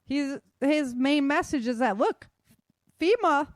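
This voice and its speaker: A woman's voice: high-pitched, animated, slow pace, no room sound, studio quality. A woman hosting a casual talk podcast, explaining advice in an emphatic, colloquial way and often checking in with "right?". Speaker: podcast host.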